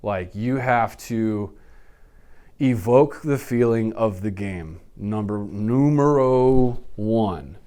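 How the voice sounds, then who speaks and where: Male, thirties. Clear resonant voice, loose slangy delivery, off-the-cuff interview chat. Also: lowish voice, slow. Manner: animated, slangy, blurts sound effects. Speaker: interview guest. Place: small indoor office, seated.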